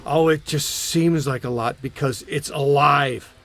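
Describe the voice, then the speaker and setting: A man's voice: fairly high pitch, a little slow, background noise, close to an everyday voice. Man as a dry, wisecracking ghost hunter. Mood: wry. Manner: dry, sardonic. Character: wisecracking, laid-back middle-aged male ghost hunter.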